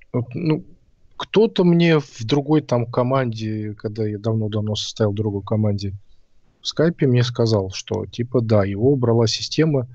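A man's voice: on the low side, darkish tone, no room sound, dull audio. A man co-hosting a live stream, chatting casually and hesitantly, often stopping to say he can't comment. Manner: rambling, hesitant, reads out chat. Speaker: stream co-host.

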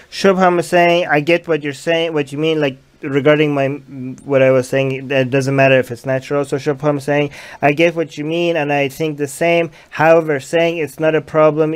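A young man with a fairly high voice hosts a casual livestream from a small room. He reads viewer comments aloud and argues his points, speaking into a headset mic. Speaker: livestream host.

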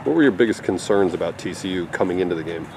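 Male sports interviewer, low voice, measured questions, faint field noise. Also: lively intonation, a little mellow, rough recording.

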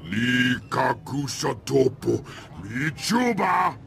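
Very gravelly hulking alien thug. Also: male voice, medium pitch, expressive, slow, fairly bright tone, faint music behind, full character voice. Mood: menacing. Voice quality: gravelly, guttural. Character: hulking hostile male alien gang enforcer. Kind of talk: video game alien dialogue.

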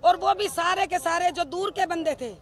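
Middle-aged woman, very high pitched, accusing, outdoor press mics. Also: normal speed. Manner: impassioned, accusing, rhetorical questions. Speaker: bereaved mother speaking to press. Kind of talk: emotional press statement. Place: outdoors in a crowded street. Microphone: cluster of press microphones.

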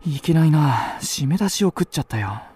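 A man's voice: on the high side, animated, a little fast, background noise, lightly acted. An actor playing a vain, evasive young male student in a radio drama, theatrical and awkward. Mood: stiff, awkward. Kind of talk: radio drama acting with inner monologue.

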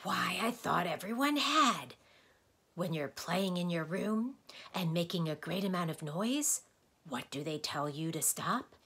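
A woman's voice: lowish voice, lively intonation, unhurried, breathy edge. A woman voicing a blustering, easily exasperated male doctor in a storybook, lecturing and quizzing emphatically. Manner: emphatic, questioning, declamatory.

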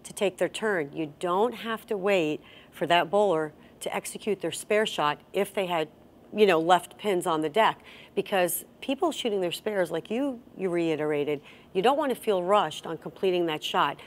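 A woman's voice: on the low side, animated, moderate pace. Middle-aged woman hosting a bowling lesson. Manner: explains step by step, asks guiding questions. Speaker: bowling instructor and host. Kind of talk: instructional chat. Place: bowling center, seated at a table.

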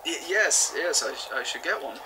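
A man's voice: medium pitch, expressive, moderate pace, background noise, natural voice. An actor playing an eager male archaeology professor, hesitant and stammering. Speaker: historian.

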